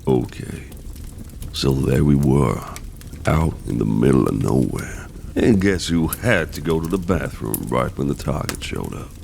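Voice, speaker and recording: Very deep, gravelly grumpy old man. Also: expressive, unhurried, darkish tone, slight background noise, heavily acted. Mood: teasing. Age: older. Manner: gruff, teasing. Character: grumpy gruff old mercenary mentor, fatherly deep down. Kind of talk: audio drama acting.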